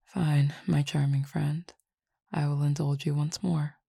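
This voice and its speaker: A woman voicing a low, gravelly, teasing cupid. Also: no echo, studio quality, exaggerated voice. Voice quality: formal, measured and quietly teasing. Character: calm, teasing cupid roommate who feeds on desire. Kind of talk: audio roleplay addressed to the listener.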